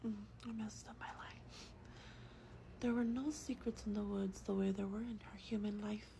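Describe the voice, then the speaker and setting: A woman with a breathy whisper reads a story aloud in a slow, hushed, gentle ASMR style, as a vampire host close to the microphone. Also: fairly high pitch, low-quality recording. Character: gentle female vampire host reading a bedtime book. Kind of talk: soft-spoken ASMR roleplay and book reading. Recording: faint background noise.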